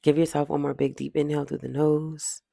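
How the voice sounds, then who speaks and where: Meditation guide, mid-pitched and heavily creaky, soothing, repetitive affirmations with long pauses, close dry sound. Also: little pitch movement, dark tone, no room sound.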